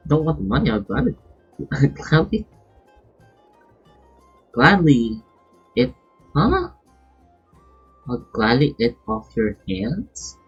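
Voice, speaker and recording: A very husky man with a Filipino accent chats casually over a game stream. He reacts freely and switches languages, on slightly dull audio with some music behind. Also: on the high side, very animated, slow, pauses often. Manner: chatty, reactive, code-switching. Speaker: game livestreamer. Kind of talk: casual live gameplay commentary.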